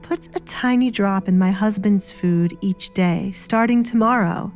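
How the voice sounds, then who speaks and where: A woman's voice: medium pitch, unhurried, a little mellow, soft background music, natural voice. A woman voicing a cruel, scheming baroness in an audio drama, haughty and menacing, with mock-wounded airs. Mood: menacing, commanding. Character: sly, cruel, scheming baroness, a married mother.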